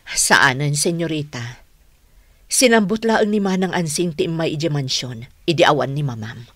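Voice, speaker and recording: A woman's voice: deep voice, lively intonation, normal speed, no echo. A woman with an Ilocano accent acting in a radio drama as a respectful, careful household servant. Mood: careful, earnest.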